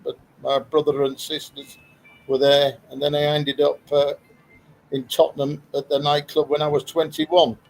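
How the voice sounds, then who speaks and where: Gravelly middle-aged man from Guyana. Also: fairly high pitch, fairly even intonation, moderate pace, slight background noise, rough recording. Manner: rambling, storytelling with fillers. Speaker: guest, storage business owner. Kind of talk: casual video-call interview. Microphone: video call.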